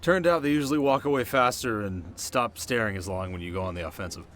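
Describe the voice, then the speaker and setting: A man playing a cocky but soft-hearted teenage delinquent boy in an ASMR roleplay, talking straight to the listener in casual slang. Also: lowish voice, fast talker, faint music behind, close to an everyday voice. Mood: sheepish, sincere. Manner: slangy, casual, stumbling when flustered.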